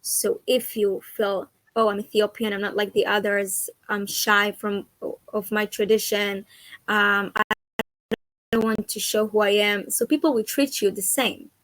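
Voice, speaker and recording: A woman's voice: mid-range voice, fairly even intonation, measured pace, a bit bright, dry recording, poor audio. A young woman from Israel, an activist and educator, speaking earnestly as an interview guest and searching for her words. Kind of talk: conversational interview.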